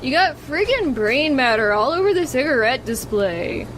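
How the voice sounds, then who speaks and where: A woman plays a put-upon female gas station clerk in a roleplay game, blasé about everything. The voice is high, with faint ambient noise behind it. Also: very animated, moderate pace, a bit bright. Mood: exasperated.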